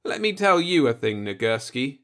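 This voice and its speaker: A man's voice: medium pitch, sing-song, normal speed, a bit bright, voice pushed up. A man voicing a stern, distrustful young spaceship captain in a sci-fi audiobook, blunt and sardonic but earnest.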